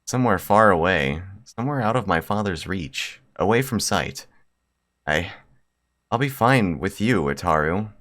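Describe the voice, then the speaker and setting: A man reading the part of a solemn, devoted high-school girl in a visual novel, halting and pleading. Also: expressive, a bit bright. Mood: earnest pleading. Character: lovelorn, formal high-school girl, quietly devoted and tragic. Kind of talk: visual novel dialogue read aloud.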